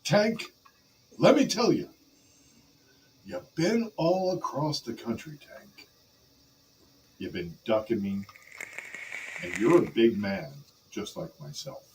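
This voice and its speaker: A man playing a big, menacing pro wrestler who delivers a dramatic, halting challenge promo. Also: mid-range voice, expressive, a little mellow, distant mic, a little hiss or hum, poor audio. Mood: menacing, challenging. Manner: dramatic, halting, calls opponent brother.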